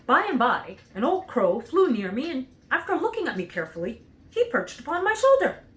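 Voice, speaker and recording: Woman playing an earnest, lively storybook scarecrow. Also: high-pitched, fairly quick, voice pushed up. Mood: wistful, earnest. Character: cheerful, naive straw scarecrow man, earnest storyteller.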